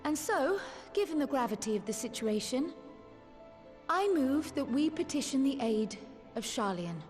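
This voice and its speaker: A woman playing an earnest, determined scholar and strategist in a fantasy game, speaking formally and deliberately. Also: sits high, big pitch swings, darkish tone, soft background music. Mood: grave, resolved. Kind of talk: formal video game cutscene acting.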